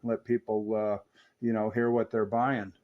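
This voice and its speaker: A man's voice: on the low side, little pitch movement, measured pace, lo-fi sound, a little hiss or hum. An American man chatting casually and enthusiastically over CB radio, explaining things like a keen hobbyist.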